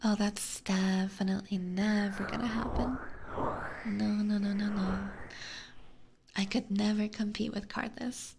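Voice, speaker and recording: A woman livestream host chatting casually in a soft, breathy ASMR style, often whispering, close to a binaural microphone. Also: mid-range voice, steady tone, measured pace. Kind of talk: ASMR casual chat with viewers. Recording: noticeable background noise, close microphone.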